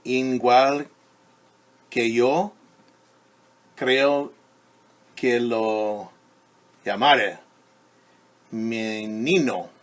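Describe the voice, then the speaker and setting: A man's voice: mid-range voice, very slow, long pauses. A man voicing a proud, quirky little girl from a children's storybook, speaking expressively and emphatically. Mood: delighted.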